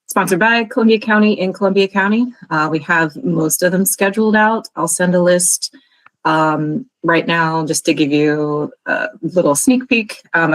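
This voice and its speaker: Low-voiced woman reading out event updates. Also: no echo, poor audio. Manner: friendly, filler-heavy listing of events. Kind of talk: meeting update.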